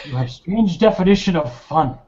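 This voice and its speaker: A man's voice: high voice, expressive, normal speed, a little mellow, voice pushed up. A man playing a dry, courteous male bar owner who speaks in clipped phrasing with dropped articles, over lo-fi voice chat. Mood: dry, amused.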